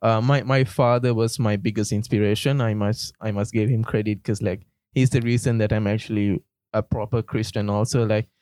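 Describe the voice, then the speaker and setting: A man's voice: lowish voice, moderate pace, no echo. A young man from Sri Lanka, a university student co-hosting a casual faith podcast, talking easily with lots of "like". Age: young adult. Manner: relaxed, uses "like" a lot. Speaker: podcast co-host. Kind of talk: casual chat.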